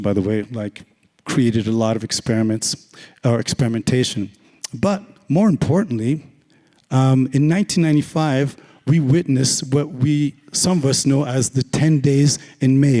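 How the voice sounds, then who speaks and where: Hoarse male speaker, raspy and mellow, loose joking delivery, long hall reverb. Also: medium pitch, moderate pace. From Canada. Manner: casual, joking, filler-heavy. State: hoarse, lost voice. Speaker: conference speaker. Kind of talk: conference talk. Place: large conference hall.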